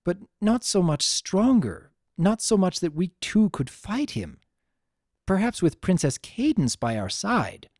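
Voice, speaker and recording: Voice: medium pitch, big pitch swings, a little slow, a little mellow, dry recording, clean studio sound, voice pushed up. A narrator voicing a formal, earnest female pony princess in a dramatic story reading, with archaic, stately diction. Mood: grave, urgent.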